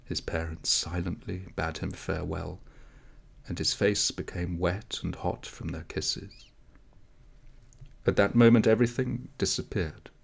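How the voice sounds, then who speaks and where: A man reading a story aloud as scripted narration, measured, with dramatic pauses. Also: low voice, steady tone, gravelly, husky. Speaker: audiobook reader.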